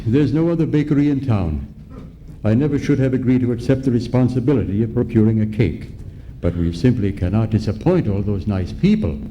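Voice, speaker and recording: A man's voice: medium pitch, warm tone, natural voice. Guy doing a pompous old man, sputtery and indignant, voice all over the place, radio comedy, noisy live stage recording. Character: fussy, indignant elderly man with a huge family. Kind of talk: old-time radio comedy monologue on the telephone.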